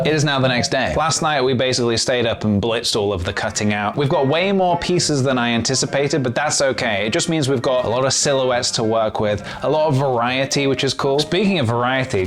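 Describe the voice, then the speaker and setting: A man casually talking through a handmade craft project as the host of a vlog. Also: medium pitch. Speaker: video host.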